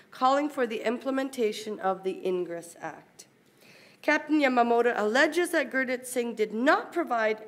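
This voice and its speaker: A woman reading a formal academic paper aloud at a university conference, in a measured, careful manner. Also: a little high.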